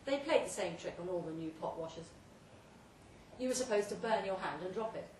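A woman's voice: lowish voice, animated, a little fast, darkish tone. Woman doing a dramatic story reading live, bit far from the mic, echoey room, faint noise. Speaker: reader of a short story. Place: pub event room, read aloud live. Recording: roomy, distant live recording with faint noise.

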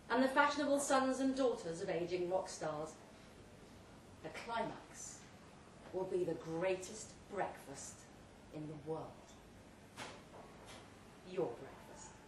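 A woman giving an emphatic, deliberately phrased dramatic reading of a second-person short story at a live event. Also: medium pitch. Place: indoor pub event, before an audience. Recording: roomy live pub recording, distant mic, faint noise.

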